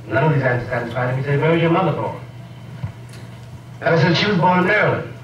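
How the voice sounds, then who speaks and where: A man telling a reflective story in a measured, ironic way, heard from an old film clip. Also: medium pitch, fairly bright tone, roomy sound, recorded from a distance, slight background noise, low-quality recording. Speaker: speaker in an archival film clip. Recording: old film clip played in a room from afar.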